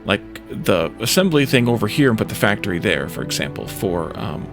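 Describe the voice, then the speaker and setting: A man's voice: fairly low pitch, very animated, brisk, over music. A man hosting a let's play, muttering his way through game decisions aloud in short, hesitant phrases. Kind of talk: gaming commentary, thinking aloud while playing.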